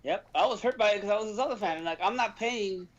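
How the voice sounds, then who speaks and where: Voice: medium pitch, fast. A podcast host talking casually and emphatically about gaming news, ranting at length. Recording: dull lo-fi stream audio.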